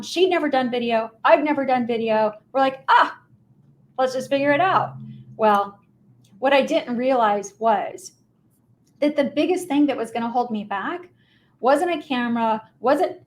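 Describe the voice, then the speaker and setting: A middle-aged American woman with a high voice, telling stories in an emphatic, informal motivational business presentation and addressing listeners directly. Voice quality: high-pitched voice. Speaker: mortgage professional giving a talk on video marketing.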